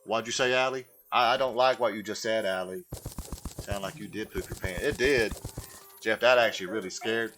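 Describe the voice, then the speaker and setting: A man's voice: fairly low pitch, moderate pace, fairly bright tone, lightly acted. Man putting on a folksy, simple Southern drawl. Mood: folksy, rattled. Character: slow, simple, earnest Southern man, film-character impression. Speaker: video game streamer. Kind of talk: livestream gaming chat held in a put-on voice. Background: music.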